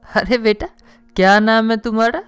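A man's voice: high-pitched, steady tone, moderate pace, fairly bright tone, smooth, dry recording, faint music behind. A man hosting a casual travel video as an off-screen narrator. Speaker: host.

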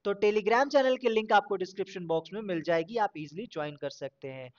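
A man's voice: high voice, brisk, dull audio. A man hosting a screen-recorded shopping tutorial, speaking in a casual, instructive way and mixing English words into his talk. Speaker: YouTube channel host.

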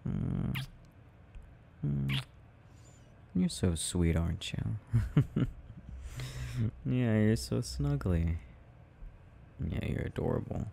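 Man playing a low, gravelly, doting sleepy-time boyfriend. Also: animated, slight background noise, heavily acted. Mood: cozy, affectionate. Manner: affectionate, murmuring, gently teasing, unhurried. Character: tender, doting boyfriend soothing his sleepy partner at night. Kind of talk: intimate ASMR boyfriend roleplay, rambling.

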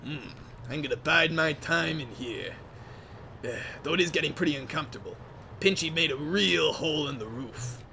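A man's voice: fairly high pitch, normal speed, gravelly, bright, forceful tone, a little hiss or hum. A man voicing a scheming, patient villain diesel engine in a comic game roleplay. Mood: brooding.

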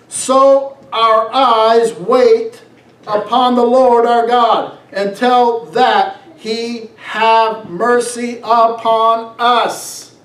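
A middle-aged man, a pastor, preaching emphatically, reading scripture and pressing his points with rhetorical questions. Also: very high voice. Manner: emphatic, exhorting, drawn-out phrases with rhetorical questions. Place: small church sanctuary, on stage. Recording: echoey room, distant from mic.

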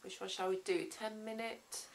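A woman's voice: medium pitch, fairly even intonation, normal speed, gravelly, a little hiss or hum. A woman in her thirties vlogging at home about her day as a lawyer, chatty and reflective, with many ums and restarts, recorded on a handheld camera in a small living room. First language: Swedish. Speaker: vlogger, human rights lawyer. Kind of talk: casual day-in-the-life vlog. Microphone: phone or camera at arm's length, then camera on the floor.

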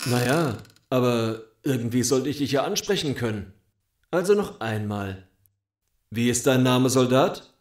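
An actor playing a smug, softly menacing gang boss interrogator in an audio drama, mock-polite, taunting and deliberate. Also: male voice, medium pitch, expressive, echoey room, lightly acted.

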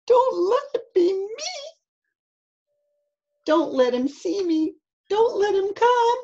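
A woman's voice: high voice, drawn-out words, darkish tone, lo-fi sound, pitched above the performer's own voice. A woman voicing a timid, frightened little boy who gasps and pleads tearfully in a children's story. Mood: pleading. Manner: gasping, squealing, tearful. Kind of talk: children's picture-book read-aloud.

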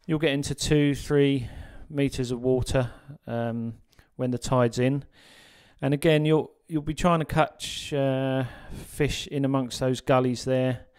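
A man narrating a sea fishing guide as a voice-over, relaxed and full of ums and uhs. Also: mid-range voice, unhurried, smooth.

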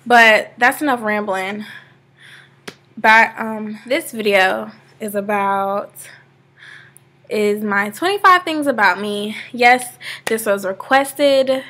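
A woman's voice: mid-range voice, takes it slowly, bright, forceful tone. An eighteen-year-old woman from Georgia, rambling casually in a webcam vlog from her sofa, full of "like" fillers. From Georgia, USA. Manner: chatty and rambling, many "like" fillers. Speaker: vlogger. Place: living room, seated on a sofa indoors. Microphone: laptop webcam, close framing.

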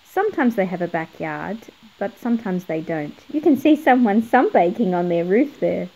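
A woman's voice: mid-range voice, big pitch swings, normal speed, gravelly, darkish tone, low-quality recording. A middle-aged woman narrating a picture book for children, explaining things and putting questions to young viewers. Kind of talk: read-aloud narration for children.

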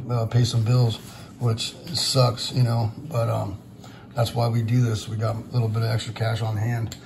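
A hoarse man, hosting a casual vlog and talking in a rambling, frustrated way with many fillers. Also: mid-range voice, noisy background. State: losing his voice, hoarse. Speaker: channel host, silver stacker. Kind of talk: casual vlog talk to viewers. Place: indoors at a table over silver bars. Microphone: camera pointed down at the table, speaker off screen.

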